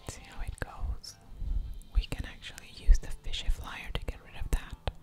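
A woman whispering ASMR commentary over a card game, thinking aloud with pauses and soft mouth sounds.

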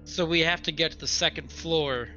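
Guy in a tabletop game, brightish higher voice, casual questions, bit dull recording. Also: moderate pace, faint music behind. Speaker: player in a tabletop roleplaying game.

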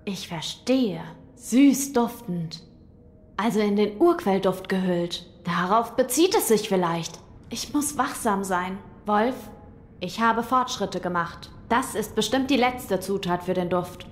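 A woman playing a gentle, thoughtful young noble boy in an audio drama, soft-spoken and formal. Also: medium pitch, lively intonation, takes it slowly. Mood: pensive, resolved. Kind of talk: German audio drama acting.

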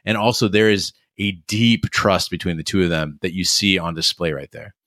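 A man hosting a podcast, talking through a review in a casual, measured, explanatory way. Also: low voice, a bit bright, no echo, clean studio sound. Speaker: podcast host.

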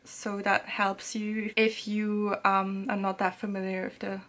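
A woman's voice: mid-range voice, measured pace. A young woman talking casually and chattily from a small room at home, reviewing a language app and filling her sentences with little fillers. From Serbia. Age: young adult. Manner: chatty, relaxed, many fillers. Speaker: language learning YouTuber.

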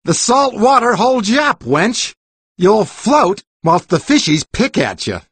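Taunting salty sailor voiced by a woman, medium pitch, teasing, fantasy audiobook, dry close sound. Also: sing-song, measured pace, breathy edge, dry recording. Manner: mocking, old-fashioned seafarer talk. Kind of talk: audiobook character acting.

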